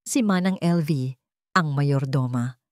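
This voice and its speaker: A woman narrating a scripted audio drama in a measured, suspenseful storytelling manner. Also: low-pitched, no echo, clean studio sound.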